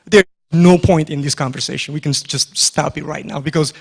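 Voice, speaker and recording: A man's voice: on the high side, steady tone, brisk, gravelly, breathy edge. A man moderating a conference panel, talking directly and pressing his point with rhetorical questions. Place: conference hall.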